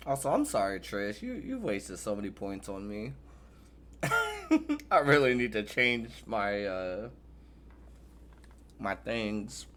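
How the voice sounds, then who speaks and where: A man's voice: lowish voice, wildly swooping pitch, takes it slowly, long pauses, a bit bright. A man streaming live, chatting casually, joking around and laughing often.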